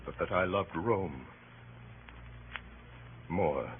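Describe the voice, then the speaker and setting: An actor playing a solemn, honorable Roman senator giving measured classical oratory in a radio drama. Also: male voice, low voice, heavily acted. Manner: deliberate, measured rhetoric. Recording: tinny old radio recording, faint noise.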